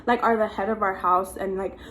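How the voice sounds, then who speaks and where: A young woman with a slightly raspy voice, chatting casually as the host of a vlog. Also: medium pitch, steady tone, fairly quick, a bit bright, slight background noise. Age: young adult. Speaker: host, vlogger. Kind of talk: casual chat.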